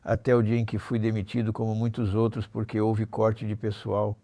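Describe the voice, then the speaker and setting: A man's voice: fairly low pitch, completely flat delivery, normal speed, mellow, no echo. A man in his seventies telling his life story as an interview guest, blunt and colloquial, often ending phrases with little tag questions. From Normandy, France. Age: 70s. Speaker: interview guest, former armed robber. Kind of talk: conversational life-story recounting.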